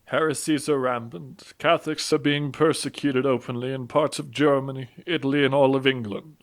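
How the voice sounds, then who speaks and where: A man's voice: mid-range voice, steady tone, normal speed, lightly acted. A man playing a courteous, solemn priest who conducts interviews in a religious audio drama. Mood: courteous, grave.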